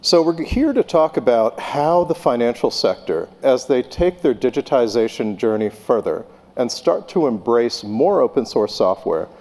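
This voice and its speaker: A man moderates a panel on stage, loose and joking as he hands the floor around. His voice is warm and dark-toned. The sound carries a long reverb from a large conference hall. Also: mid-range voice, normal speed. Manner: joking, relaxed.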